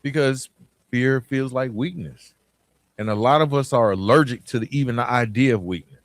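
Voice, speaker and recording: A man with a Southern accent from Atlanta hosts a motivational podcast in a medium-pitched voice. He talks informally and emphatically, circling back on phrases and throwing out rhetorical questions. The sound is dry, with little room around it. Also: moderate pace, dry recording. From Atlanta, southern United States. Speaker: podcast host.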